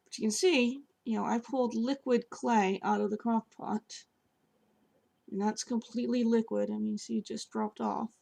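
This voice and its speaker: A woman with a hoarse, scratchy voice, chatting casually as she shows how she sculpts at home. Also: on the high side, steady tone, normal speed, darkish tone. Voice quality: gravelly, scratchy. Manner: chatty, with fillers while working with her hands. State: hoarse, voice partly lost. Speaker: sculptor reviewing clay. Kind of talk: casual demonstration. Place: home, at a table by a sofa.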